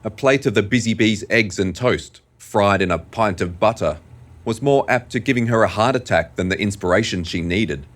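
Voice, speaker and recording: A man narrating an audio drama in a measured, deliberate, scripted storytelling style. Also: fairly low pitch.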